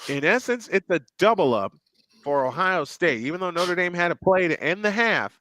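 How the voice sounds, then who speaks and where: Bright excited play-by-play man. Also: high voice, moderate pace, no room sound. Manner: excitable, rises sharply on big plays. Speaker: play-by-play commentator. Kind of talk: live game commentary with banter.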